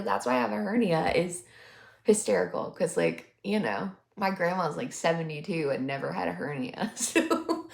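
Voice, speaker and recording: A woman's voice: mid-range voice, vocal fry, fairly bright tone. A woman in her twenties giving sarcastic, mocking reaction commentary in a chatty way. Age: 20s. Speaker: commentary YouTuber. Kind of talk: reaction commentary on a vlog clip. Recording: roomy echo.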